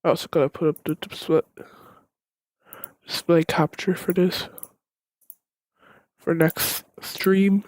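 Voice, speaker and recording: High-voiced male streamer talking slowly. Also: steady tone, dry recording. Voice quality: altered by a voice changer. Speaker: livestreamer. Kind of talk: casual livestream reaction chat.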